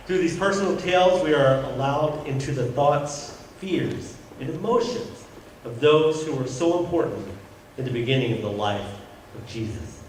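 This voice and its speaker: Casting: male church reader, measured formal delivery of prepared text, recorded from afar with faint noise in an echoing sanctuary. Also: medium pitch. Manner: measured, formal, occasionally stumbling reading. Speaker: church reader introducing a monologue. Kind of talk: scripted introduction read aloud. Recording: very echoey church, distant mic, some noise.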